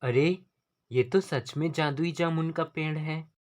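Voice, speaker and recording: A man's voice: fairly high pitch, steady tone, unhurried, natural voice. A man playing a kind, humble young villager in a cartoon fable, speaking earnestly and plainly. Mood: amazed, hopeful. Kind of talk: scripted cartoon story dialogue.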